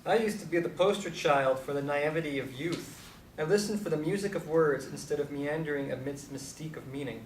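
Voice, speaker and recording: A man's voice: a little high, brisk, lightly acted. Echoey actor as blunt aging Beat intellectual man. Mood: pontificating. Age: older. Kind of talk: stage play dialogue. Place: live stage performance recorded from a distance. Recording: echoey, distant mic, faint noise.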